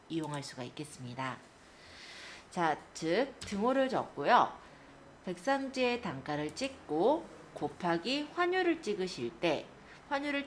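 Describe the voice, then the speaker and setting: A woman teacher giving a step-by-step software tutorial, explaining politely to learners. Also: mid-range voice, slight background noise.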